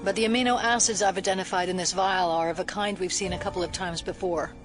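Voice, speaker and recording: An actress playing a cool, methodical female FBI agent and scientist in an audio drama, measured and drily reproving. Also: lowish voice, fairly even intonation, soft background music, natural voice. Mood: focused.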